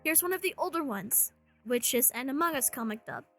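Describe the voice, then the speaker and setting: High-voiced sarcastic woman ranting. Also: animated, fairly quick, a bit bright, soft background music. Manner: sarcastic, joking. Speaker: commentary YouTuber. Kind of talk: scripted rant commentary with mocking quotes.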